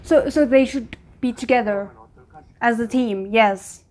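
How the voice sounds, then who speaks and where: A woman giving casual, off-the-cuff commentary on a show, exclaiming and often pausing mid-thought. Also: fairly high pitch, a little mellow. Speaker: reaction video host.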